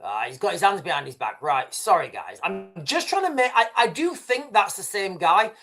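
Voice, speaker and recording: A man's voice: high-pitched, sing-song, normal speed, a bit bright, soft background music. A man hosting a livestream, giving loose running commentary over video clips and talking straight to his viewers. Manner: rambling, talks straight to viewers as "guys", frequent restarts and "right". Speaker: livestream host.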